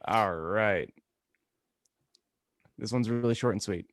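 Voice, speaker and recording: A man's voice: lowish voice, slow pace. A man hosting a podcast in a casual, playful, joking style. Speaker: podcast host. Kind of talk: casual comedic chat.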